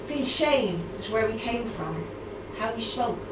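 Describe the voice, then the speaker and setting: A woman's voice: a little high, animated, background noise. Dublin-accented woman poet, distant and roomy. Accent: Dublin Irish. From Ireland. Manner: measured recitation, hesitant um-filled chat between poems. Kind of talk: live poetry reading with chatty asides. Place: live reading event in a room. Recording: roomy, distant mic, audible room ambience.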